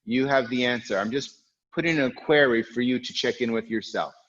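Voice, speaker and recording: A man guiding an online yoga class, with a loud, strong voice, calm and reflective, speaking in unhurried phrases with pauses. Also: mid-range voice, dull audio. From United States. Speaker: yoga teacher. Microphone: recorded for an online class video.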